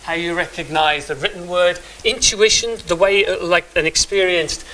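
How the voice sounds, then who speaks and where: A middle-aged man speaking to a room, his voice bright and a touch high. He talks loosely, stopping to restart sentences and filling pauses as he goes. A faint hiss sits under the recording. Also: poor audio. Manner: conversational, many restarts and fillers. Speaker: conference speaker. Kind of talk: live tech talk with demo. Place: meeting room.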